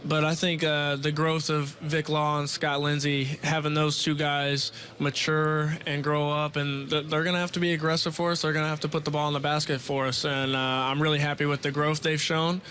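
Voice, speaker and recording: A young man, a college basketball player, giving measured, matter-of-fact answers in a media day interview. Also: a little high, level tone, bright, forceful tone. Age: young adult.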